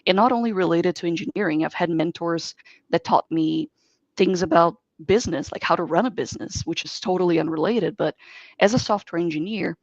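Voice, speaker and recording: A woman from Brazil, a native Portuguese speaker, speaking as a software engineer guest in an online interview and giving earnest, expansive advice. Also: lowish voice, fairly quick, dry recording. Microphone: video call. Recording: dull dry video call audio.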